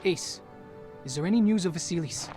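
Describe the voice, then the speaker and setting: An actor playing a serious, dutiful teenage Spartan warrior in a video game, speaking in a measured, formal, earnest way over background music. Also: male voice, high voice, animated, dark tone. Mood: matter-of-fact.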